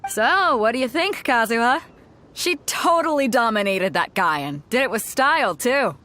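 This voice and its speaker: A woman's voice: fairly high pitch, animated, normal speed, sharp tone. An actress voicing a bold, confident female pirate captain in a video game who hosts a tournament with a brash, teasing manner. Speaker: ship captain and tournament host.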